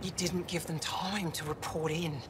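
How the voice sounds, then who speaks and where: A man's voice: fairly high pitch, little pitch movement, moderate pace, background noise, natural voice. An actor playing a wounded male alien scout, stubborn and loyal, speaking in a strained, plain-spoken way.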